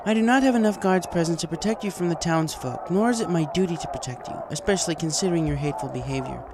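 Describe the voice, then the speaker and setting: Regal, stern female pony ruler acted by a man, raised pitch, formal and measured, storybook reading, noisy recording. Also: natural voice. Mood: calm, stern. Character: regal female pony princess, calm yet firm.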